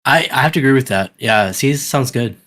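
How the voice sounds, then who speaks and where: A man with a medium-pitched voice hosts a podcast. He moves a casual group chat along in a conversational style. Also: animated, fairly quick, fairly bright tone. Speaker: podcast host.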